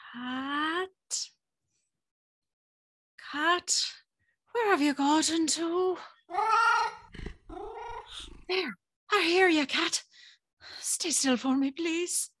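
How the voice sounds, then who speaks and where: A woman's voice: high voice, slow pace, pauses often, fairly bright tone, dry recording, clean studio sound. An actress playing a timid, curious, polite young servant girl in an audio drama, telling her story in a hesitant, wondering way. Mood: nervous, curious. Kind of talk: audio drama acting with first-person storytelling.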